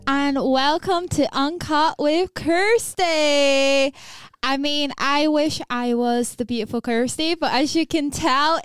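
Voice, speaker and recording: Excited, high-pitched woman podcast host. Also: clear, resonant tone, no echo. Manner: excited, gushing. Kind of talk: casual podcast chat.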